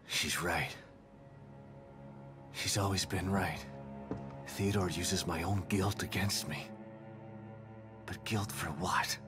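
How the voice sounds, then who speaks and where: An actor playing a grim, guilt-ridden middle-aged survivor in a video game, with a terse, brooding delivery that often drops to a murmur. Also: male voice, low voice, slow, long pauses. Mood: bitter resolve. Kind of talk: cinematic video game acting. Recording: music under the dialogue. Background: music.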